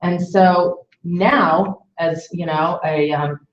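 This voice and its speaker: Lower-voiced woman, distant and echoey. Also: steady tone, takes it slowly, a little hiss or hum. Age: early 40s. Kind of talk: casual reflective chat. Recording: distant, echoey, muffled webinar audio.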